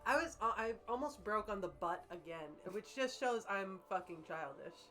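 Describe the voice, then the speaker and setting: A woman's voice: mid-range voice, expressive, normal speed, bright, forceful tone. Playful woman bantering over music. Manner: playful, swears freely, echoes and riffs on phrases. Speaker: co-reader and commentator on a comedy reading stream. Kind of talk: casual banter and mock-dramatic reading aloud.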